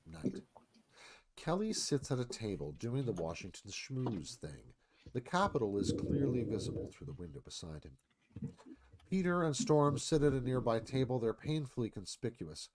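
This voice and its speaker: A man's voice: medium pitch, sing-song, normal speed, background noise. A man narrating a screenplay, reading out scene headings and stage directions.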